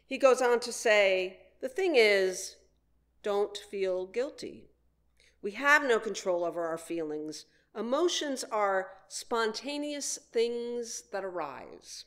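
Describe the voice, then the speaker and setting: Woman, minister, sermon delivery, very expressive pitch movement, slow deliberate phrasing, frequent pauses. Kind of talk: sermon, prepared message.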